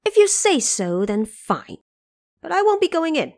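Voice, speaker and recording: A woman's voice: extremely high pitch, big pitch swings, measured pace, darkish tone, voice pushed up, heavily acted. A woman voicing a brash, hot-tempered male monkey king in an audio drama, blunt and insolent. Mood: sulky.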